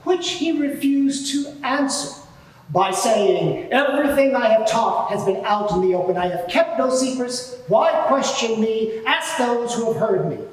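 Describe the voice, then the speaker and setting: A man playing an indignant, self-righteous high priest giving pompous, deliberate testimony in a dramatised courtroom inquiry. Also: animated. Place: large courtroom before a live audience. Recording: very echoey hall, distant mic.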